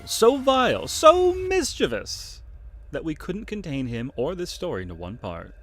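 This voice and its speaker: A man narrating a fan audio drama in a theatrical, playful style with wry asides. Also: a little high.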